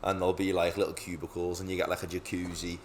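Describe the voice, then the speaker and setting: A man's voice: low voice, monotone, fast, a little hiss or hum. A young man co-hosting a podcast, chatting casually and telling stories with lots of swearing and laughter. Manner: sweary, storytelling, laughs often. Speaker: podcast co-host. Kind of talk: casual chat.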